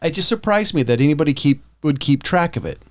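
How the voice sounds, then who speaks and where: A man's voice: on the high side, lively intonation, quick pace. A man hosting a podcast in a casual, chatty style. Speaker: podcast host.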